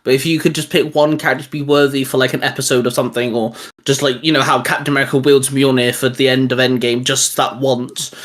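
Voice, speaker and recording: A man's voice: medium pitch, level tone, fast talker, few pauses. A young man co-hosting a casual geeky chat, rambling with lots of fillers and restarts. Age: young adult. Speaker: channel co-host.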